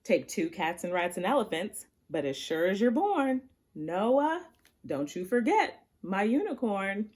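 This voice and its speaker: A woman's voice: mid-range voice, big pitch swings, a little slow, darkish tone. A woman voicing a folksy, commanding Lord God in a storybook poem read aloud.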